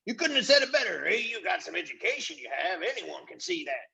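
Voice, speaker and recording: A man voicing excitable, fawning invisible followers who eagerly agree and repeat themselves, in a storybook reading. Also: high voice, brisk, vocal fry, voice pushed up, exaggerated voice. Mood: cheerful fawning.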